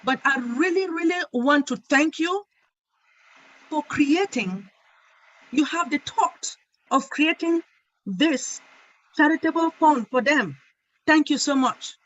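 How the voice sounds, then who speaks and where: A woman's voice: high voice, animated, takes it slowly, pauses often, fairly bright tone, a little hiss or hum. A woman calling into an online meeting, emphatic as she shares ideas and gives thanks. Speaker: caller. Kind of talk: casual online meeting chat. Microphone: video call. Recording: dull lo-fi call audio.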